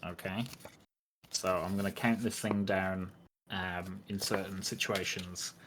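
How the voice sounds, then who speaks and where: A man's voice: deep voice, flat delivery, a little slow, a bit bright, slight background noise, poor audio. A man acting as game master, casually refereeing a tabletop roleplaying session.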